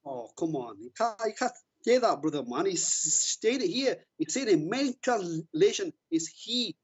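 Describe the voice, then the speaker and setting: A man's voice: sits high, animated, moderate pace, slightly rough. A man from Asia calling into a debate, defensive and talking over the host. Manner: defensive, talks over the host, repeats words. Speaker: caller. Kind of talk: heated call-in debate. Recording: dull lo-fi call audio.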